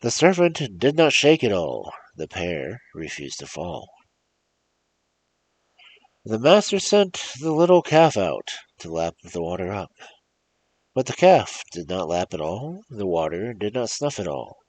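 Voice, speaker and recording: A man with a gravelly, husky voice hosting a storytelling show, reading fairy tales in a theatrical, emphatic, rhythmic way. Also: lowish voice, very animated, measured pace, low-quality recording. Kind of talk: animated performed fairy-tale reading with casual asides.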